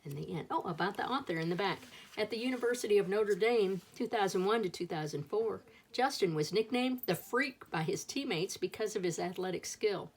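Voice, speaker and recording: A woman's voice: mid-range voice, animated, fairly quick, hardly any pauses, slight background noise. A woman reading a children's picture book aloud as a storyteller, expressively acting out the dialogue.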